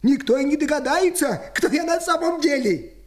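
Cast: a boastful, grouchy old forest spirit for a storybook play, voiced by a woman; high and lively. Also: normal speed. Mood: playful. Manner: fussy, grumbling, boastful. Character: grumpy old male forest spirit, small. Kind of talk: musical fairy-tale audio play.

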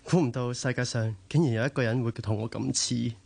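A man's voice: mid-range voice, fairly even intonation, brisk, vocal fry, darkish tone. A male actor playing an upright, dutiful heir to a family business in a radio drama, earnest, with a Hong Kong Cantonese accent. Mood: puzzled, earnest.

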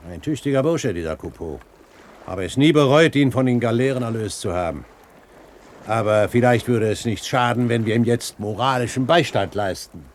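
A man playing an authoritative old pirate captain giving brisk orders in a 1970s German radio drama. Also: mid-range voice, a little hiss or hum, lightly acted. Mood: satisfied, musing. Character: commanding old pirate captain, great-uncle of the narrator.

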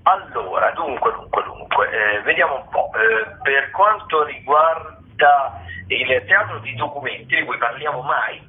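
A man's voice: a little high, lively intonation, unhurried, slight background noise. A man giving an informal, hesitant and improvised theatre round-up as a radio contributor. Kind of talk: informal spoken listings review. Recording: thin, narrow phone line.

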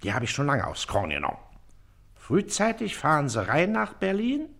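An actor in a classic German radio play, playing a pompous, suspicious, blustering village magistrate with an officious, emphatic manner. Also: male voice, fairly high pitch, wildly swooping pitch, moderate pace, creaky, fairly bright tone, exaggerated voice. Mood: suspicious, self-important.

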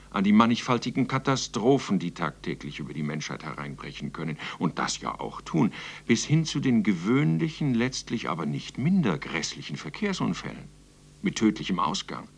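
An actor playing a wry, cosily chatty Death as a radio drama narrator, speaking in a theatrical, rambling, mock-solemn manner. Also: male voice, sits low, gravelly, heavily acted.

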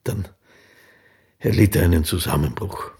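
Tiefer, sehr rauer Chronist. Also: male voice, sits low, a little slow, a little mellow, husky, heavily acted. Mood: grave, factual. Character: sober male chronicler reporting deaths and dates. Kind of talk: documentary narration in a radio play.

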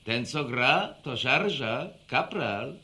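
An actor playing a jovial, scheming, hard-drinking villager in a radio drama, chuckling and teasing in a folksy way. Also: male voice, mid-range voice, expressive, takes it slowly, sharp tone, a little hiss or hum, heavily acted. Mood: amused, scheming.

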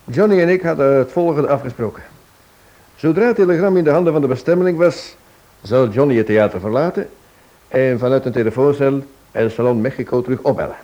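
An actor playing a genial, self-assured amateur detective in a radio play, breezy and conversational. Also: male voice, mid-range voice, fairly quick, warm tone, natural voice. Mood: explanatory. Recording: dull, noisy old radio recording.

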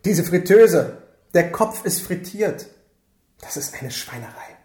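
A man playing a dry, matter-of-fact crime scene technician in a German radio crime drama, reporting in a deadpan way. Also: high-pitched, slow. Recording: echoey room.

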